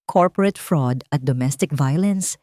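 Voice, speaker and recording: Woman voicing a stern, loud police officer. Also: low-pitched, a little slow, no room sound, studio quality.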